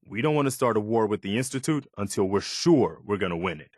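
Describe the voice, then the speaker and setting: A man playing an earnest, weary, idealistic militia leader in a video game, speaking in a measured, sincere way. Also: on the low side, expressive, no room sound, clean studio sound. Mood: resolute. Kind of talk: video game character dialogue.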